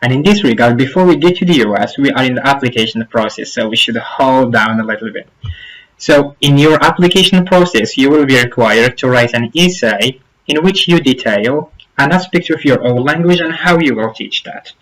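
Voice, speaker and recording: A man's voice: medium pitch, lively intonation, a bit bright, poor audio. Earnest Arabic-native man giving advice. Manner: earnest and advisory, stresses points by repeating them; deliberate, with frequent restarts and uh fillers. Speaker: YouTube channel host giving application advice. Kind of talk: informal spoken tutorial to camera.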